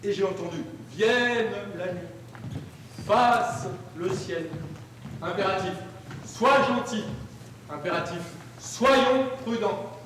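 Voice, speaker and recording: A man on stage reading grammar examples and old texts aloud in a deliberate, declamatory way, in a live performance. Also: high voice, a bit bright, a little hiss or hum. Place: large hall, live performance. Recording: echoey hall from far off.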